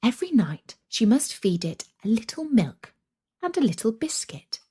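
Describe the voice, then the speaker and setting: A woman narrating a scripted children's story in a dramatic, deliberate way, with breathy delivery and suspenseful pauses. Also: on the high side, very animated, warm tone.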